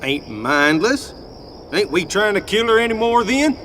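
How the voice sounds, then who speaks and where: A man's voice: high voice, sing-song, measured pace, fairly bright tone, a little hiss or hum, full character voice. A man voicing a dim-witted, easygoing cowboy henchman in a video game, with a folksy Southern US drawl. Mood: eager, dim. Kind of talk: video game cutscene voice acting. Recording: music behind the voice. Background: game music.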